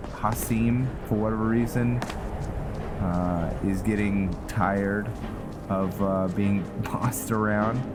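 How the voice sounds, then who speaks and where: Slow, low-voiced man musing over a game. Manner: halting, musing aloud. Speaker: roleplay gamer, series host. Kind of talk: unscripted game commentary with read-aloud notes.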